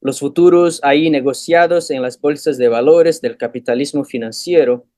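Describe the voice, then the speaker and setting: A man's voice: on the high side, dark tone, no room sound, studio quality. A man whose first language is Portuguese, reading a prepared lecture in Spanish with a Portuguese accent over a video call. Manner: measured, questioning delivery in Spanish mixed with Portuguese. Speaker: guest lecturer.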